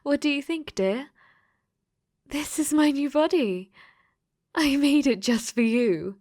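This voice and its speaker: Slow-speaking possessive yandere game girl, acted by a woman. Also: on the high side, a little mellow, voice pushed up. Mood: adoring, possessive. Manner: sweetly menacing, breathy, often dropping to whispers. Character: possessive, clingy female dating-sim AI in robot body. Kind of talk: ASMR audio roleplay acting.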